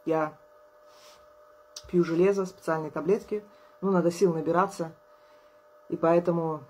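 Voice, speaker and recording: A woman in her thirties, an artist vlogger, chatting casually to camera at a table indoors, tired and low on energy but friendly, laughing often. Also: a little mellow, a little hiss or hum. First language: Russian. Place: indoors at a table, small room.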